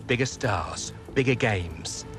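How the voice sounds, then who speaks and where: An actor playing a pushy, persuasive football agent in a video game drama. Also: male voice, fairly low pitch, animated, takes it slowly. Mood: persuasive, upbeat. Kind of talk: naturalistic video game cutscene acting. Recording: music behind the voice.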